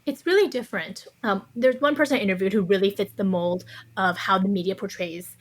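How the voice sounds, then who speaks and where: A woman's voice: mid-range voice, brisk. A woman speaking as a podcast guest, explaining her views conversationally in a thoughtful, carefully qualified way. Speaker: interview guest, author.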